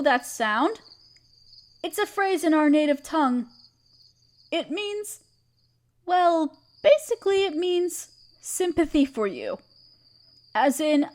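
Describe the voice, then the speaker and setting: A woman playing a gentle, protective half-spider queen in a roleplay audio drama, speaking tenderly and soothingly to the listener. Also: sits high. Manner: tender, soothing, hesitant and coaxing. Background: chirping crickets.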